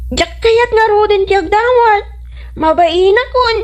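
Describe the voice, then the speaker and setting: High-pitched whiny little daughter. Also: female voice, a little slow. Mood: whiny, embarrassed. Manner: whiny, protesting. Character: reluctant, whiny young daughter sent out begging. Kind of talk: comedy radio drama acting.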